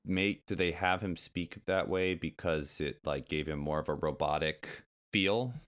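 A man's voice: sits low, a little slow, fairly bright tone. A man from the United States co-hosting a podcast in casual chat, curious and asking questions. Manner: curious, asks questions, chatty. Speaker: podcast co-host.

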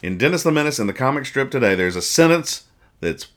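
A man hosting a podcast, giving casual comic commentary with mock-serious, exasperated deadpan nitpicking. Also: medium pitch, fast. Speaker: podcast host. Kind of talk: comedic casual commentary reading comic strips aloud.

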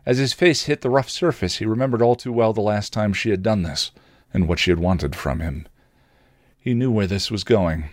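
A man narrates a piece of fan fiction for a podcast. His voice sits somewhat low, and the recording is dry, with little room sound. He reads expressively, as a storyteller. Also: normal speed, no room sound.

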